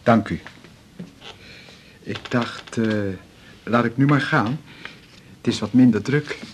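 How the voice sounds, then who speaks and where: An actor playing an embarrassed, insecure young student at the doctor's, hesitant and apologetic, in a naturalistic radio drama. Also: male voice, mid-range voice, slow, slight background noise, lightly acted. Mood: nervous, polite. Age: young adult.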